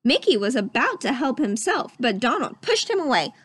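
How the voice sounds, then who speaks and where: A woman narrates a children's storybook. Her voice is fairly high and very lively in pitch, close and dry in sound. She tells it expressively, with a sense of drama. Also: normal speed, breathy edge, no echo. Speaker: storybook reader. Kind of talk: scripted children's story narration, read aloud.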